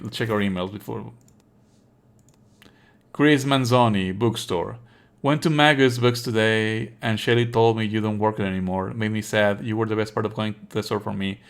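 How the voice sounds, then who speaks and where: Male game streamer, mid-pitched voice, sardonic and exasperated, swears freely, switches between reading on-screen text and ranting. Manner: exasperated, sarcastic, animated outbursts; frequent swearing. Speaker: streamer playing and commenting on a visual novel. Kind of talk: live let's play commentary, reading game text aloud.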